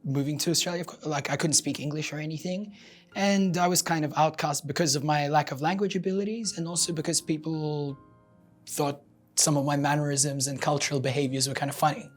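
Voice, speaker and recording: Woman from russia, really low voice, thoughtful casual interview chat, music under it. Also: steady tone, a little fast. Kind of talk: reflective casual talk.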